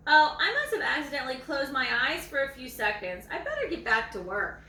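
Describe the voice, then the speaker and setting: A woman's voice: high-pitched, very animated, fairly quick, sharp tone, reverberant, far from the mic, slight background noise, exaggerated voice. A woman voicing a lazy, self-important old male ranch hound dog from a storybook, in a folksy, self-satisfied way.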